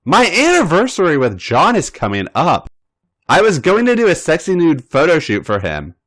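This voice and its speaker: This is a bubbly young woman who wears her emotions openly and pushes her ideas with eager persuasion, performed by a man reading her lines. Her voice sits higher and swings widely. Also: huge pitch swings, normal speed, a bit bright, full character voice.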